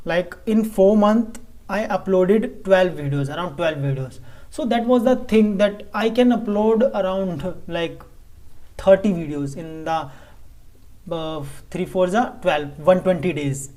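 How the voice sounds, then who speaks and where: A man with an Indian English accent talks casually to camera in a vlog. He is a native Hindi speaker, and his delivery is halting, with frequent stumbles and restarted phrases. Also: high-pitched, warm tone. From Delhi, India. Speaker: vlogger.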